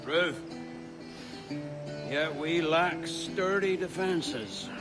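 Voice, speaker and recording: An actor playing a gruff, shrewd veteran pirate in a video game, blunt and dismissive in manner. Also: male voice, fairly high pitch, very slow, low-quality recording. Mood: dry, scheming. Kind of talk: dramatic video game acting. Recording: loud guitar music behind.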